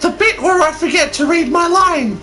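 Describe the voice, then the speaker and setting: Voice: very high-pitched, moderate pace, bright, forceful tone. A co-reader in casual comedic banter, interjecting with swearing.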